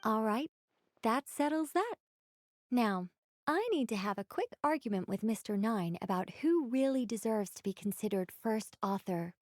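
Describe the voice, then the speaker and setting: An actress playing a decisive, bantering storyteller in a video game. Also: female voice, on the high side, animated, moderate pace, darkish tone, dry recording, studio quality, natural voice. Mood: brisk, teasing.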